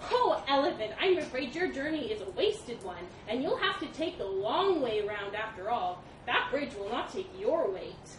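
A woman's voice: a little high, sing-song, moderate pace, hardly any pauses. A woman voicing a small, well-meaning male monkey in an animal fable, squirming, apologetic and pleading. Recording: echoey, distant mic, noisy background.

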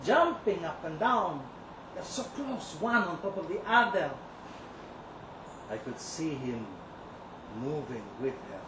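A man performing a dramatic first-person story as a bitter, lovesick young kibbutz kitchen worker, brooding and sardonic, with dramatic pauses. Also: a little high. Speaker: reader at a live literary event. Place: indoor live reading venue. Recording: roomy live event recording, clear background noise.

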